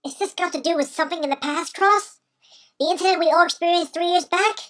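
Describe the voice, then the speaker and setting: Woman voicing Sky, high-pitched serious young pup. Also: fast, a bit bright. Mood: grave concern. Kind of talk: amateur audio drama acting.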